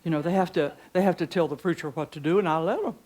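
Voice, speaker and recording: An older woman with a deep voice leading a chatty preaching workshop in a conference room, reading hymn lyrics slowly. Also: darkish tone. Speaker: pastor teaching preachers. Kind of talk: informal preaching workshop talk. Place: conference room with seated audience.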